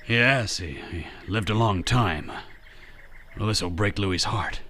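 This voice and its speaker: Man as gruff bright-edged ranch boss. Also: fairly low pitch, slow, a little hiss or hum. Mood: regretful. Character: gruff ageing American ranch-owning boss. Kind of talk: audio drama acting of a 1930s mystery.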